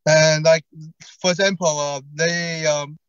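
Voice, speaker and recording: A man speaks in a conference talk, stuttering as he goes, often repeating words and starting over. His voice sits fairly high and sounds dry and slightly muffled, as on a video call. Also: fairly even intonation, unhurried, clear, resonant tone, dry recording. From Taiwan. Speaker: co-founder of stuttering association.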